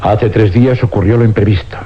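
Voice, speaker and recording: An actor playing a weary older scientist in a suspense radio drama, speaking with grave deliberation and dramatic pauses in an accent from Spain. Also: male voice, lowish voice, steady tone, breathy edge. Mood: dark, resigned. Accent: Spanish, from Spain. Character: weary older scientist confessing an uncanny experiment. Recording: dull, noisy old radio recording with hum.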